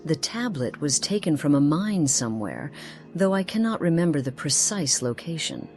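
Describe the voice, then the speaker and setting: A woman's voice: low-pitched, big pitch swings, normal speed, darkish tone, faint music behind. An actress playing a grave, haunted woman companion in a fantasy game, speaking formally and earnestly. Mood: somber, reflective. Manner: formal archaic phrasing, earnest. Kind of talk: dramatic fantasy game voice acting.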